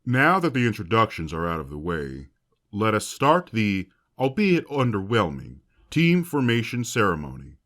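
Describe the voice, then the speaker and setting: A man playing a grave, compassionate academy headmaster in an audio drama, speaking in formal, measured oratory. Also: on the low side, wildly swooping pitch, fairly bright tone. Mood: formal, announcing. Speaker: voice actor. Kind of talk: fan audio drama speech.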